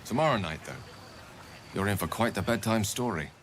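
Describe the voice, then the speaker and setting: Male actor as bookish wizard, fantasy game, low pitch, polite, wry, musing, slight background noise. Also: expressive, a little fast, natural voice. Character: courteous, bookish wizard, wry, florid and tipsy. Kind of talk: video game dramatic acting.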